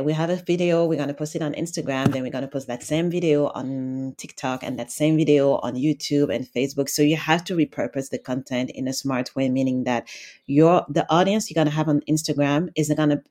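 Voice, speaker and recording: A woman's voice: low-pitched, a little mellow. A woman from Senegal talks as an expert guest on a podcast. She answers in a conversational way, explaining her points as she goes. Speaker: podcast guest, video marketing consultant.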